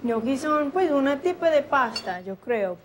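A woman's voice: high-pitched, big pitch swings, a little slow, a little hiss or hum. A young woman from Texas, a guest on a cooking show, giving short, hesitant answers. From United States, Texas. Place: small home-style studio kitchen.

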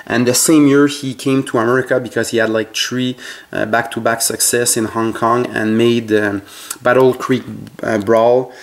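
A man with a French Canadian accent, his first language French, showing his collection in his home basement and talking haltingly with many fillers and self-corrections. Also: medium pitch, fairly even intonation. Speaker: collector vlogger. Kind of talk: casual collection tour. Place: home basement full of shelves.

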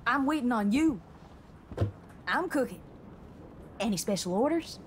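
A woman's voice: on the high side, lively intonation, measured pace, background noise. An actress playing a brash, teasing young woman mechanic and driver in a video game, with a sassy, slangy manner. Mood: brash, playful. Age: young adult. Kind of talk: video game cutscene acting.

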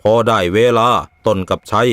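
A man's voice: moderate pace, a bit bright, soft background music. A man narrating a scripted story as a storyteller.

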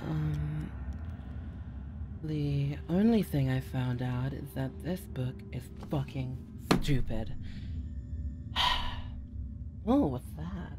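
A woman's voice: sits low, extremely slow, long pauses, background noise. Woman playing a teasing, bluffing girlfriend. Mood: playful.

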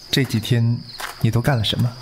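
A man playing a composed, laconic young martial-arts heir in a Chinese audio drama, calm and understated. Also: mid-range voice, a little hiss or hum, lightly acted. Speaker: voice actor.

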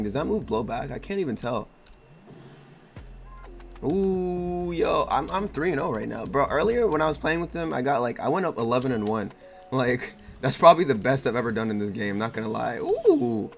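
Young male gaming host, voice going hoarse, excitable slangy play-by-play, light game music behind. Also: mid-range voice. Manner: excitable, laughing, trash-talking slang. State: hoarse, losing his voice. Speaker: gaming YouTuber. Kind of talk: casual gameplay commentary.

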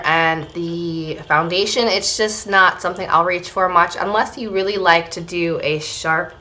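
A woman in her thirties, slightly hoarse, giving a casual beauty product review to camera at home. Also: on the low side, pushed and bright. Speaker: beauty vlogger. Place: small room at home, indoors.

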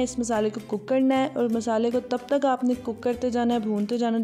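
A young woman walks through a recipe in an unhurried voice-over. She explains each step patiently, with small fillers, while soft music plays quietly underneath. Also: a little high. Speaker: cooking channel host. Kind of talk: voice-over cooking instructions. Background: soft background music.

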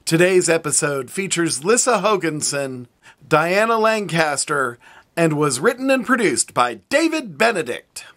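Older male announcer, high-pitched and animated, reading a scripted introduction. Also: a little slow.

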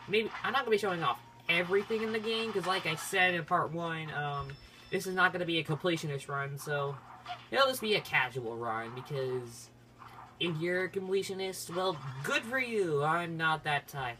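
A gamer hosting a casual playthrough, talking in a rambling, halting way and often cutting into their own sentences. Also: medium pitch, pushed and bright. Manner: halting, rambling, self-interrupting. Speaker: gaming video host. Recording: game music behind the voice. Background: video game music.

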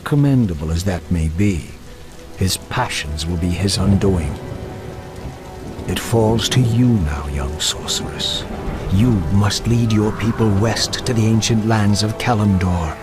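Man acting a slow, solemn prophet. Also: fairly low pitch, over music, close to an everyday voice. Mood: solemn warning. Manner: portentous, measured, formal. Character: solemn, cryptic prophet warning of doom. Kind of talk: video game cutscene acting.

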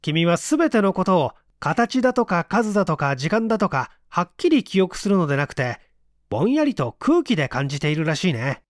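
A man's voice: high voice, no echo, studio quality. A man voicing a superior male friend who lectures in an emphatic, chiding way, in a storybook reading. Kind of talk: acted dialogue in storybook reading.

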